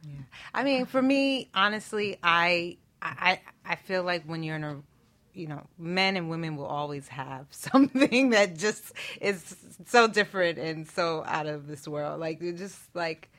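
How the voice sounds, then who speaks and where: A woman's voice: on the low side, lively intonation, a little slow, a bit bright. A woman chatting casually about relationships in a panel talk, often repeating and restarting her phrases.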